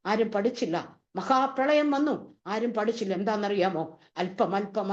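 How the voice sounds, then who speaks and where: A woman preaching a fervent sermon, driving home her points with repeated rhetorical questions. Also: fairly high pitch. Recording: dull lo-fi recording.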